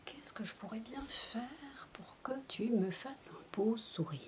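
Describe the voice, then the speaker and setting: A woman performs a babysitter roleplay in hushed, whispered ASMR style. Her voice is mellow and her pace unhurried, with simple coaxing words as if to a sulky little child. A faint hiss sits behind her. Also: a little high.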